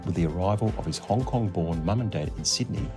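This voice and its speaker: Low-voiced male host narrating. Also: fairly quick. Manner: polished, introducing guests. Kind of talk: scripted narration and interview questions. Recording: music playing underneath.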